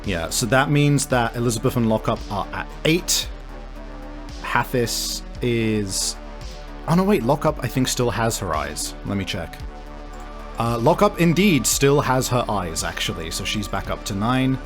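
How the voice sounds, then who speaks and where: A man runs a tabletop game as game master, with a mid-pitched voice and faint music behind him. He checks rules casually and improvises the scene, feeling out his sentences as he goes. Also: sing-song, measured pace.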